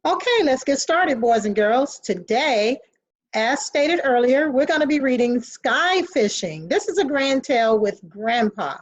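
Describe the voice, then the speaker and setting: A woman's voice: high-pitched, animated, a little slow. A woman teacher reading a picture book aloud to children in an instructional way.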